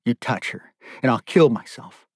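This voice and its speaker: A man performs a captive father in a dark audiobook drama. His mid-range voice is weary and desperate, pleading but edged with bitter defiance. It is recorded very dry. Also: medium pitch, normal speed, breathy edge, no room sound. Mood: desperate fury. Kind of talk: audiobook character dialogue.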